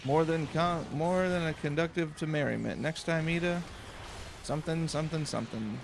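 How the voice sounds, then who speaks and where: A man doing a calm, casual let's play, playfully reading along, with game music behind him. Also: on the high side, normal speed, gravelly, background noise.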